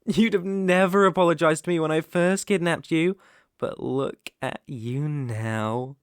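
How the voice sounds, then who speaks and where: ASMR roleplay: male actor for a possessive British yandere captor boyfriend, unhurried, mid-pitched, coaxing yet threatening, intimately dry recording. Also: smooth, no room sound, natural voice. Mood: menacing, possessive. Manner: slow, cooing endearments turning to controlled threats.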